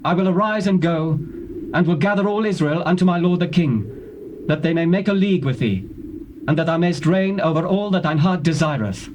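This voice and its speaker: A man playing a forceful army commander in a dramatized Bible reading, declaiming in archaic theatrical style. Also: fairly high pitch, a little fast, lo-fi sound, natural voice. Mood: eager. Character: Abner, forceful male army commander pledging allegiance. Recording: noisy old cassette tape transfer.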